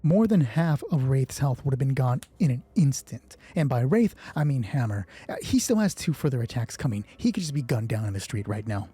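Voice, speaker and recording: Husky, mellow man running a tabletop game. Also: mid-range voice, sing-song, brisk, hardly any pauses. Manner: informal, swearing, thinking aloud. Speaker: solo roleplay game master and narrator. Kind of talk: narrating a tabletop game, dramatic storytelling mixed with casual rules talk.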